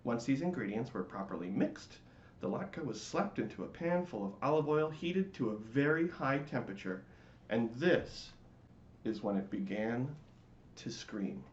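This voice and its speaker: A man giving a theatrical, expressive dramatic reading of a storybook. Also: mid-range voice, a little slow. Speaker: storybook reader. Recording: roomy, dull, faintly noisy home recording.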